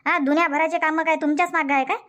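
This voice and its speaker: A very high-pitched cartoon housewife, acted by a woman, nags with an aggrieved air. She has a Varhadi Marathi village accent and scolds in repeated, complaining runs. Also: steady tone, racing, a bit bright. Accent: Varhadi Marathi (Vidarbha). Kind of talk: Marathi comedy cartoon dubbing.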